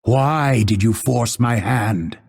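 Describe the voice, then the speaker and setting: An actor playing a proud, cold, vengeful assassin in a video game, with a husky voice and measured, haughty, menacing delivery. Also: male voice, mid-range voice, a little mellow, exaggerated voice. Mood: grim sorrow. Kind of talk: scripted game voice lines, theatrical delivery.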